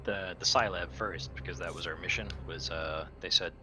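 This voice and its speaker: A man with a low voice talks through his character's actions at a tabletop game. His delivery is casual and halting, with a blunt streak. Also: fairly even intonation, sharp tone. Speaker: player in a tabletop roleplaying game.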